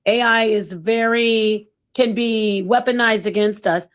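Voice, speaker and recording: A woman's voice: fairly high pitch, a little slow. A middle-aged woman hosting a casual panel discussion, thoughtful and hesitant, often restarting her sentences. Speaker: panel host.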